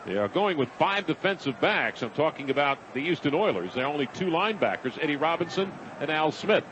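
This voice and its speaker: A man's voice: on the high side, sing-song, brisk, a bit bright, lo-fi sound. An American man calling football play-by-play on the radio in clipped phrases that rise with the action. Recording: noisy stadium radio broadcast. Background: stadium noise.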